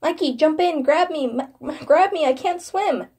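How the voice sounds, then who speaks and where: A woman voicing a drowned young boy's ghost, begging and demanding in a high, strained voice in a horror story. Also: lively intonation, moderate pace, darkish tone. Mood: desperate pleading. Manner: desperate repeated begging.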